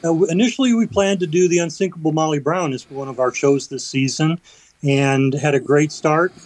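A man's voice: a little high, a little fast, darkish tone, dry recording, low-quality recording. A man, vice president of a community theater group, chatting as a guest on a radio arts show and explaining with fillers and restarts. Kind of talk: radio interview.

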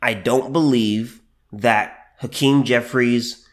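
A man hosting a solo commentary podcast, a little under the weather with allergies, who halts and repeats phrases with many fillers and slows down to stress his points. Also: medium pitch, steady tone. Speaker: podcast host.

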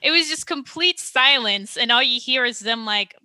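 A young woman chats as a guest on a podcast, her voice very bright and a little high. She often pauses with ums and restarts her sentences, and the sound is dry and close. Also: animated, no room sound. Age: young adult. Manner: hesitant, many ums and restarts. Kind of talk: casual podcast chat.

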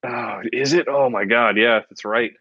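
A man's voice: mid-range voice, a little slow, husky, highs cut off. A middle-aged American man co-hosting a podcast, telling stories in a rambling, self-mocking casual chat. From United States. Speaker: podcast co-host.

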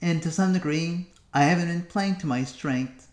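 A man from the United States hosting a solo podcast, musing to himself with long pauses. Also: a little high, a little mellow, echoey room. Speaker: podcast host. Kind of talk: solo reflective podcast monologue.

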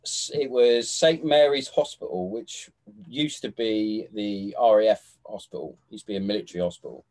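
A man's voice: on the low side, normal speed, darkish tone, rough recording. A man hosting a casual chat, swearing freely, laughing often and restarting his sentences. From England. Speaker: podcast host.

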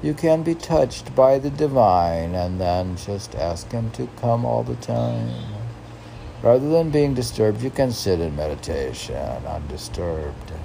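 A man reading his own poetry and adding rambling casual asides in a musing, halting manner. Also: lowish voice, big pitch swings, vocal fry, dark tone, a little hiss or hum, low-quality recording.

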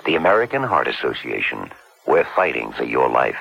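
A man's voice: extremely low pitch, big pitch swings, a little fast, gravelly, sharp tone, breathy edge. A man reading a scripted public service announcement on a slightly dull old radio recording.

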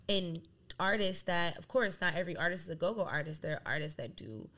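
A woman's voice: fairly low pitch, fairly quick. A young woman from Delaware, a DJ, chatting casually in an interview, rambling with lots of "like". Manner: rambling, heavy use of "like", animated. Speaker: DJ and guest.

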